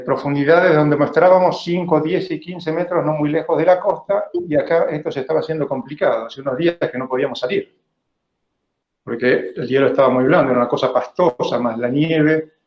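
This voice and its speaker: A man in his fifties with an Argentine accent gives an informal illustrated lecture over a video call, speaking conversationally and often adding little check-in questions. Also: a little high. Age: 50s. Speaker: biologist giving a talk. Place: indoor room, online video call. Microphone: video call microphone. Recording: roomy, dull online meeting audio.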